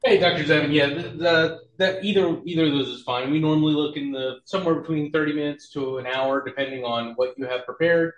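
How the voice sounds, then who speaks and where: A man's voice: on the high side, fairly even intonation, a little fast, few pauses, echoey room, highs cut off. A man chairing an amateur astronomy club meeting, friendly and chatty with mild hesitations.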